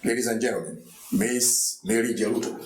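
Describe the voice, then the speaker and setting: A man's voice: lowish voice, background noise. Man introducing a panel, echoey hall. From Nigeria. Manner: measured, with frequent hesitations. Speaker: conference moderator introducing panelists. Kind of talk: formal introductions. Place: university conference hall.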